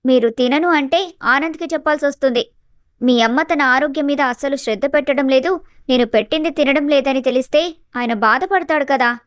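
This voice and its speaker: A woman playing a sly, sweetly bossy young daughter-in-law in an animated family story. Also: sits high, a little fast, no echo, clean studio sound, lightly acted. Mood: slyly insistent. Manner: mock-sweet, solicitous.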